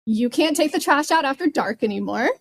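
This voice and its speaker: A woman's voice: sits high, expressive, brisk. A woman podcast panelist telling personal anecdotes in an informal, conversational way. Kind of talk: casual chat, personal anecdotes.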